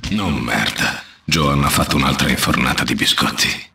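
Very deep, gravelly soldier. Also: male voice, normal speed, sharp tone, echoey room, heavily acted. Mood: grim. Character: grim, gravelly male action game soldier. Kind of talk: video game dubbing.

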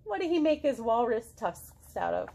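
A woman in her thirties reading aloud to young children as a storytime host, expressive and full of questions to draw them in. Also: on the high side, normal speed, slightly rough. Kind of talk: animated picture-book read-aloud for young children. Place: living room at home.

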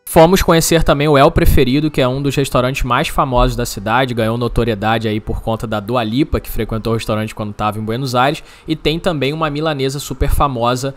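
A man's voice: medium pitch, steady tone, fairly quick, hardly any pauses, soft background music. A man narrating a travel vlog, giving casual, upbeat restaurant recommendations. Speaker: travel vlogger.

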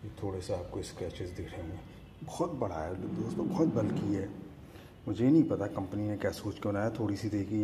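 A man's voice: lowish voice, noisy background. A man talking casually and conversationally, explaining something hands-on as a vlogger filming on a phone at home. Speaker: motorcycle vlogger. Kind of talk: casual product comparison vlog. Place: at home by marble staircase.

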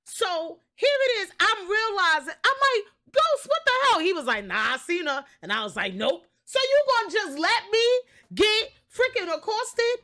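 A young woman telling a story casually on a livestream, expressive, slangy and sweary. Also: very high voice, moderate pace. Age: young adult. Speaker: game streamer.

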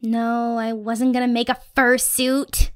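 High-pitched, dorky, playful young girlfriend, acted by a woman. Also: clear, resonant tone, no room sound, studio quality. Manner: chatty, teasing, nerdy rambling. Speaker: roleplay voice actor. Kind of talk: ASMR girlfriend roleplay, casual one-sided chat.

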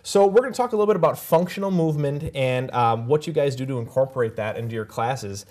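A man's voice: medium pitch, animated, quick pace, a little mellow. Male TV host, conversational interviewer. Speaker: TV talk show host. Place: small TV studio set, indoors.

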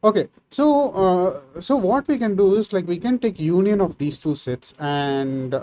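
A man's voice: high-pitched, expressive, measured pace, darkish tone. Rambling man, Tamil native, thinking aloud. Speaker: programming tutor. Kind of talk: casual screen-share coding tutorial. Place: small room indoors.

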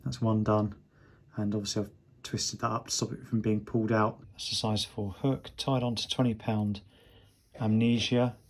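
A man's voice: on the low side, level tone, moderate pace. A man, talking casually and instructively as a fishing vlogger. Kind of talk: casual instructional vlog talk. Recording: clear background ambient noise.

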